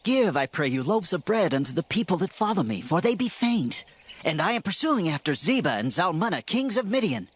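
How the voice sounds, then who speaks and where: An actor playing a stern, vengeful ancient Israelite war leader in a dramatized scripture reading, with solemn declamatory delivery. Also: male voice, high-pitched, big pitch swings, fairly quick, husky, full character voice. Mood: urgent appeal. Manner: declamatory, solemn archaic speech.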